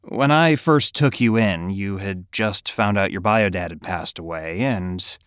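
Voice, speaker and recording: A man playing a warm, gently teasing middle-aged father in an audio drama. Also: deep voice, a little slow, clear, resonant tone, no echo, clean studio sound. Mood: earnest, worried. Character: warm, caring, teasing adoptive father of a teen.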